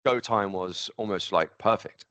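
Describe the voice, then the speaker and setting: A man's voice: lowish voice, a little slow, bright, forceful tone. A man hosting an interview, chatty and rambling, with lots of "like" and "kind of". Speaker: podcast host and interviewer.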